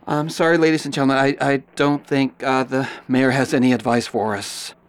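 A man's voice: medium pitch, level tone, brisk, clean tone, natural voice. A man playing a nervous, flustered public radio announcer in a horror radio drama, stammering and hesitant.

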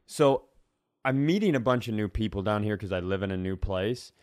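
A man's voice: fairly low pitch, lively intonation, moderate pace, darkish tone, no room sound, studio quality. A man co-hosting a comedy podcast, chatting casually in a rambling, sweary, story-driven way. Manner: rambling, conversational storytelling with profanity. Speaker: podcast co-host. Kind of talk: casual comic banter.

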